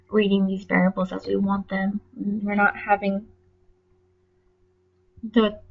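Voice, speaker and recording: A woman's voice: medium pitch, lo-fi sound, a little hiss or hum. Stuffy-nosed young woman presenting a class project. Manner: plain, a little halting, with frequent ums and self-corrections. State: congested from allergies. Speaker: student presenter.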